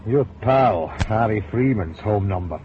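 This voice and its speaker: An actor playing a hesitant detective reporting to his boss, halting and careful. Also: on the low side, animated. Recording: dull old radio broadcast with faint noise.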